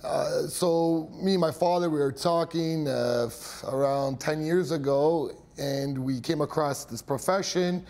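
A man in his thirties with a loud voice, a hearing specialist explaining his work in a casual interview, hesitant with many fillers, on a lapel mic. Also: fairly high pitch, unhurried, few pauses. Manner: hesitant, many fillers, laughs at own jokes. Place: small dark studio set, seated.

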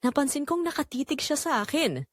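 A woman's voice: sits high, big pitch swings, a little fast, no room sound. A woman with a Filipino accent narrating a scripted first-person drama as an earnest young caregiver confessing her inner turmoil.

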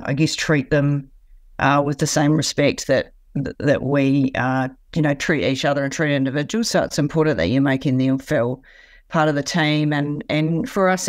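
A woman's voice: deep voice, no echo, studio quality. Thoughtful woman with a New Zealand accent. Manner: thoughtful, pausing with um and you know. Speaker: dairy farmer. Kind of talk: interview answers.

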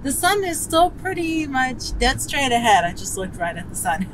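A woman's voice: sits high, animated, measured pace. A middle-aged woman riding in a car and chatting to the camera in an upbeat, easygoing way. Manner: chatty, upbeat, laughs easily. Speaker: vlog co-host and passenger. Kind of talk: casual chat to camera. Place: inside a car. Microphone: camera mounted on the dashboard. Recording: clear road noise in a car.

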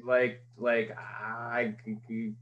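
A young man hosting an interview over a video call, stuttering openly with repeated sounds, casual and relaxed. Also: medium pitch, drawn-out words, highs cut off, slight background noise. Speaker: podcast host. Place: small room indoors. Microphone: webcam mic on video call.

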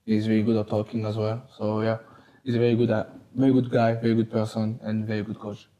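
French-accented man, soft mellow voice. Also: fairly low pitch, little pitch movement, rough recording. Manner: halting, simple phrasing, laughs easily. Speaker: footballer guest. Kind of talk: casual interview. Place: small wood-panelled locker room. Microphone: lapel.

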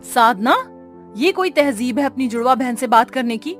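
A woman's voice: high-pitched, lively intonation, moderate pace, faint music behind. A woman voicing a caring, worried mother in a cartoon, earnest and admonishing.

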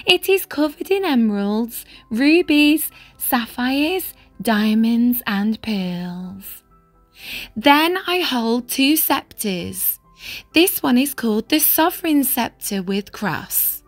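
A woman's voice: a little high, expressive, slow, faint music behind, full character voice. A woman voicing a cheerful, eager storybook king who exclaims in a friendly, grand way. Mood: friendly explaining.

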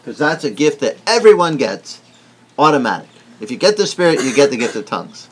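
A middle-aged man whose first language is Italian, preaching an animated teaching sermon indoors and asking the room questions. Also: mid-range voice, fairly quick, slight background noise, rough recording. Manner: animated, gesturing broadly, asks the room questions. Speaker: pastor. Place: wood-paneled indoor room.

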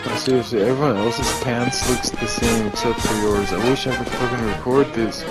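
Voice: mid-range voice, fairly even intonation, normal speed. A gamer chatting casually and swearing freely over game voice chat. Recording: game voice chat under loud music.

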